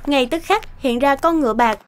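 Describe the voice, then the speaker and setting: A woman's voice: high-pitched, normal speed, natural voice. A woman working as the scripted narrator of a cartoon fairy tale, telling the story. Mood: storytelling.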